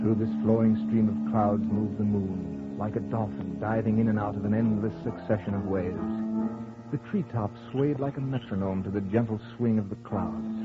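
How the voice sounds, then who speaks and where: Through a narrow, tinny vintage radio sound with music behind it comes a man acting as a husband recounting an eerie night. His voice sits fairly low and he speaks in deliberate, literary phrases with theatrical weight, as in an old horror broadcast. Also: natural voice. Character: happily married man narrating his eerie night walk, growing unnerved. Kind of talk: old-time radio horror acting, dramatic first-person narration.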